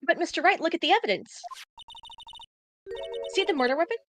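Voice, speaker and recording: Woman as a high-pitched, earnest young witness. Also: expressive, brisk. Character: young, earnest, eager female witness in a video game. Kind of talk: amateur live game fandub. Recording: loud music behind the voice.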